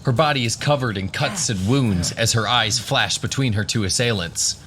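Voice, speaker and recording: A man's voice: mid-range voice, normal speed, fairly bright tone, faint music behind. A man narrating a scripted fantasy adventure as the storyteller of an audio drama. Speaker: narrator, game master.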